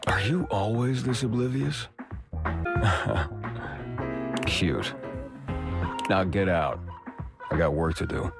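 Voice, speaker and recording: Low, slow, curt man acting a gruff guy. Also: over loud music, full character voice. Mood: dismissive, amused. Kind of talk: character voice acting audition reel.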